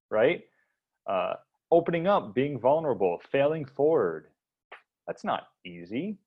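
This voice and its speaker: A man with a deep voice hosting a podcast, speaking earnestly and haltingly, often restarting his sentences. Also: muffled recording. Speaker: podcast host. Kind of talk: reflective podcast talk.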